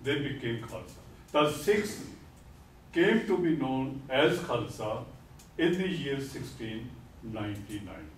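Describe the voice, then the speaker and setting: An older man lectures in a very slow, deliberate way, repeating each key term. He stands at a podium in a classroom, picked up by a distant camera. The room is echoey, with faint noise behind him. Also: medium pitch, sing-song. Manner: gestures while speaking at a podium. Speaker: lecturer on Sikhism. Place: classroom with whiteboard. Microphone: far camera.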